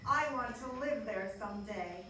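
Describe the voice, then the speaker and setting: A woman's voice: a little high, moderate pace, sharp tone. An actress playing a playful, romantic eighteen-year-old small-town girl in a live radio play. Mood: playful, dreamy. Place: live stage in a dance hall. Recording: very echoey hall, distant mic, faint noise.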